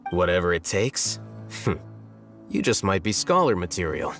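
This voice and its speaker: An actor playing an aloof, self-assured young scholar in a video game, with a dry, smug delivery. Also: male voice, low-pitched, animated, measured pace, a bit bright, faint music behind. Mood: wry.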